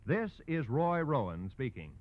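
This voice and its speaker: A man's voice: medium pitch, sing-song, a little slow, heavy background noise. An American man announcing in a polished, emphatic 1940s network radio style, reading a scripted promo like a showman. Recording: dull old network radio recording.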